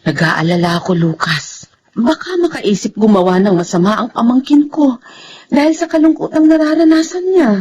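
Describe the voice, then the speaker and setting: An actor playing a worried, caring relative in a Filipino drama, speaking in an anxious, fretful way. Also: male voice, extremely high pitch, expressive, normal speed, darkish tone, slight background noise. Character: worried, caring relative fretting over a niece. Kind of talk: Filipino radio-style drama acting.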